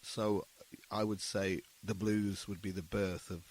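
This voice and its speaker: A man speaking as a musician guest in a casual interview, explaining his thoughts in a halting, thoughtful way. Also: deep voice, level tone, darkish tone.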